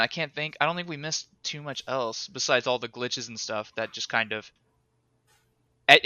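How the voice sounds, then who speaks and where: Bright, crisp-voiced man chatting casually. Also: mid-range voice, dry recording. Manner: rambling, animated, laughs often. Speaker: podcast co-host. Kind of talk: casual chat.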